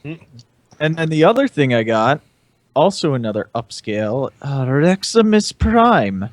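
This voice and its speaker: A man's voice: medium pitch, sing-song, unhurried, dry recording, studio quality. A man chatting casually as a panelist on a hobby podcast.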